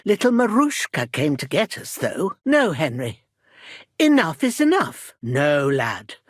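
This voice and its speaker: A middle-aged village midwife in a medieval video game, weary and embittered yet fiercely protective of her own. Her delivery is earnest and emphatic, with a very lively melody. The recording is dry, with no room around the voice. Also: deep voice, slightly rough, no room sound, full character voice. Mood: defiant, weary. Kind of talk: dramatic game dialogue acting.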